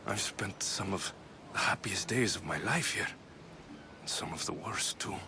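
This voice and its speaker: An actor playing a young Spartan mercenary in a video game, earnest, wry and battle-hardened. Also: male voice, deep voice, unhurried, creaky, noisy background. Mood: wistful, pained. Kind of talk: dramatic video game cutscene acting.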